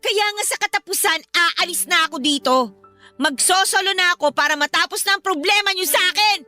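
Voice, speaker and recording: A woman's voice: very high-pitched, brisk, sharp tone, faint music behind. A woman playing a stubborn, hurt younger sister in a Filipino radio drama, answering in sulky, defensive retorts. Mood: hurt, defiant.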